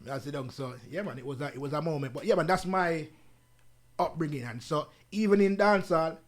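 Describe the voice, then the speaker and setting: Man, jamaican accent, slightly high voice, relaxed storyteller, patois-laced talk. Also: a little fast. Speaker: guest artist. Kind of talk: casual interview chat.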